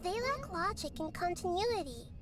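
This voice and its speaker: A woman voicing a small, gentle, curious child-like goddess in a video game, speaking in a thoughtful, articulate way. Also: very high voice, very animated, moderate pace. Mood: curious, explaining. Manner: thoughtful, articulate, softly inquisitive. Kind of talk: English video game voice acting. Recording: game music behind the voice.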